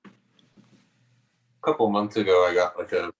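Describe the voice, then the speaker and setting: Laid-back low-voiced man on a video call. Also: steady tone, moderate pace, reverberant, recorded from a distance. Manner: hesitant, laid-back, laughs often. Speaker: singer-songwriter guest. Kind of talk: relaxed conversational interview.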